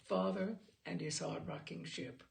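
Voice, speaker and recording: A woman reading literary stories aloud as a storyteller, with expressive, theatrical phrasing. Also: on the low side, unhurried, gravelly. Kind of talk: literary storytelling with informal introduction.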